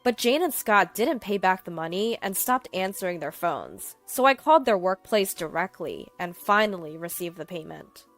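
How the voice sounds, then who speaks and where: A woman's voice: lowish voice, animated, moderate pace, few pauses, a bit bright, soft background music. A woman narrating a scripted first-person story as its storyteller.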